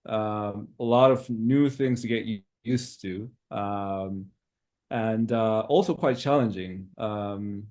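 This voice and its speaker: A man from Zimbabwe in his thirties, a podcast guest who talks in a hesitant, reflective way with many pauses. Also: on the low side, darkish tone. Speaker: interview guest. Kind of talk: casual interview talk.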